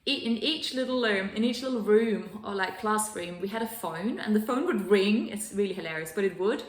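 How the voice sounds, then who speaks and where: A woman's voice: medium pitch, animated, normal speed. A woman from Denmark, a wellness coach and host, telling her own story in an earnest, enthusiastic way with many fillers and restarts. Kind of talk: solo self-introduction on a sofa. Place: living room sofa. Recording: roomy echo.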